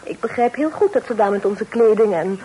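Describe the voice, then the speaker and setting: An actress playing a pert, teasing young sister in a period radio drama, playful and mocking. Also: female voice, mid-range voice, animated, a little fast, mellow, husky. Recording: faintly noisy old radio play recording.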